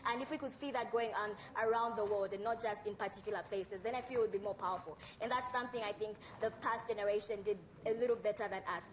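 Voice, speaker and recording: A woman's voice: a little high, rough recording. A young woman with a Zambian accent, speaking earnestly and articulately as an activist on a discussion panel, with noticeable background noise. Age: young adult. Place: live conference panel.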